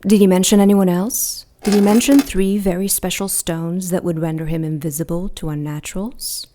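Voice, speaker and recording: A woman playing a vengeful supernatural villain in an audio drama, poised and probing, with quiet menace under her politeness. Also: on the low side, fairly even intonation, moderate pace, close to an everyday voice. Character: Lilith, menacing vengeful female supernatural villain posing as friendly.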